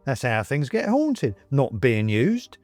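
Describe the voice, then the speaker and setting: A man's voice: mid-range voice, wildly swooping pitch, slow, a little mellow, no room sound, soft background music, full character voice. A man voicing a fussy, chatty little male china ghost in a storybook, with genteel old-fashioned phrasing and needling politeness. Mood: genteel, indignant.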